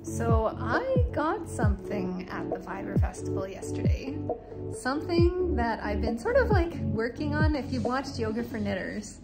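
A woman's voice: on the high side, sing-song, measured pace, over loud music. A woman in her forties chatting casually to her phone camera for a daily vlog, rambling with fillers as she walks outdoors and sits in a home office. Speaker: vlogger. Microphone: phone held at arm's length.

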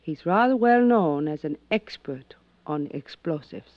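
A man's voice: fairly high pitch, expressive, measured pace, dry recording. An actor playing a matter-of-fact man helping an investigation in a 1950s radio drama. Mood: serious, informative. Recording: dull, dry 1950s radio recording.

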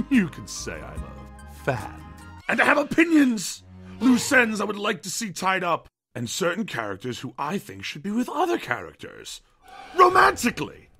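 A man's voice: sits high, huge pitch swings, moderate pace, sharp tone, soft background music, heavily acted. A man voicing an eccentric, obsessive old gnome who narrates a cartoon comic dub in a grandiose, dramatic way. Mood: excitable, fannish. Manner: grandiose, dramatic, storyteller phrasing.

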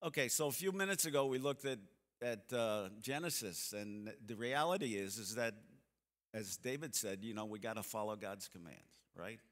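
A man in his seventies giving a church seminar talk, a folksy, animated storyteller who acts out the people in his stories. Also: on the low side, normal speed. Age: 70s. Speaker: seminar speaker. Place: church sanctuary stage, seated. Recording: long hall reverb from the sanctuary.

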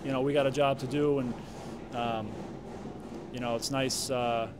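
A man in his thirties, a goalkeeper answering reporters' questions at a press conference in a relaxed way, with many fillers and restarts. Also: mid-range voice, normal speed, noisy background. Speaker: soccer goalkeeper. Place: stadium press room.

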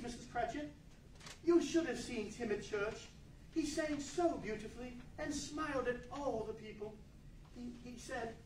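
A woman's voice: high-pitched, a little mellow. A woman playing a tender, loving working-class parent, affectionate and earnest, in a radio play. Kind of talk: 1940s radio play acting. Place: large meeting hall before an audience. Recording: distant echoey hall, faint noise.

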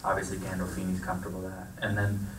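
A man with a low, level voice talks through a handheld mic on a theater stage, measured and careful with frequent restarts. The sound carries echo and comes from some distance off, with steady noise underneath. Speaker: film producer. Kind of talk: panel Q&A answers.

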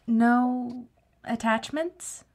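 A woman's voice: on the high side, fairly even intonation, extremely slow, full character voice. An actress playing a bitter, wry woman kept alive as a severed head in an audio drama, questioning and plaintive. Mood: wry, curious.

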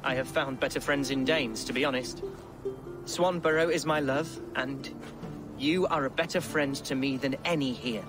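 An actor playing a gentle, eager young Anglo-Saxon lord in a video game, hesitant and earnest as he speaks. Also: medium pitch, moderate pace, music in the background, close to an everyday voice. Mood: hesitant, hopeful. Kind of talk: video game cutscene acting.

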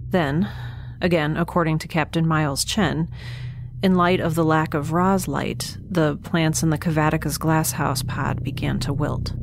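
A woman's voice: sits low, steady tone, measured pace, gravelly, slight background noise. An actress playing a lone young woman stranded in space who records a log, talking in a hesitant, wry way with dry asides. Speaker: narrator keeping a log. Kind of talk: audio drama monologue.

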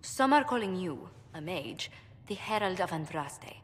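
An actress playing a poised female ambassador who speaks in a gracious, measured, formal way. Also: on the low side, expressive, long reverb tail. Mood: composed. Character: poised female court ambassador, polite and diplomatic.

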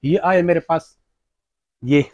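A man in his thirties, a shopkeeper, eagerly pitching products in a crowded electronics shop, recorded on a lapel mic. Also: slow, a little mellow, lo-fi sound. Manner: eager sales pitch, repeating "ye dekh lo". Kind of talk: product demonstration.

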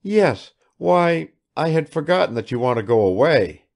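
A man plays a proud young noblewoman in a literary audiobook. The voice is raised high and moves slowly, with wide, expressive swings and a languid, world-weary, searching manner. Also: gravelly, full character voice. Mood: amused, searching. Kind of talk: dramatised literary audiobook dialogue.

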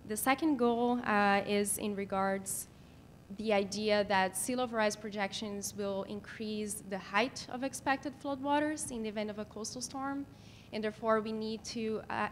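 Woman, thirties, mid-pitched voice, formal presenter, halting explanatory delivery, podium mic, meeting room, some rumbling background noise. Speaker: city planner presenting. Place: meeting room in a civic building. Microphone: podium microphone.

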